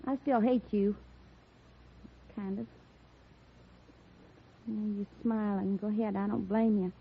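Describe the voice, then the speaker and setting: A woman playing a rough-talking young frontier tomboy with an unpolished backwoods Western dialect in an old radio drama. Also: medium pitch, steady tone, slow pace, exaggerated voice. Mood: shaky, wary. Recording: muffled narrowband vintage radio recording.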